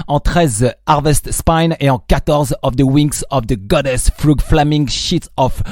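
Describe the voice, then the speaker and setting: A man's voice: fairly high pitch, darkish tone, no echo, studio quality. A man with a French accent hosting a live radio interview, switching between French and English and stumbling over foreign titles. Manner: stumbling, self-correcting on foreign titles, quick laughs. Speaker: radio host.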